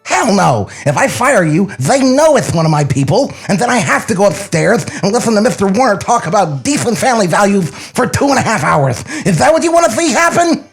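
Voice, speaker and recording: A man playing a gruff, sarcastic, exasperated studio boss in a comedy table read, blunt and scolding. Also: sits high, big pitch swings, fairly quick, few pauses, faint music behind, full character voice, low-quality recording. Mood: gruff, dismissive.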